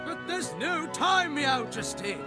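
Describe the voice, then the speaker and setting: An actor with a Welsh accent voicing a cheeky, excitable little male fairy sidekick in an animated fantasy game. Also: very high voice, slow, loud music behind the voice, heavily acted. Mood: impatient, puzzled.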